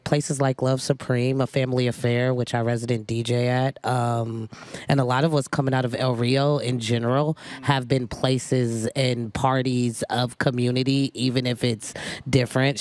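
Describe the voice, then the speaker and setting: A man speaking as a DJ on a live panel, talking reflectively with many pauses. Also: mid-range voice. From San Francisco Bay Area. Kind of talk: panel discussion.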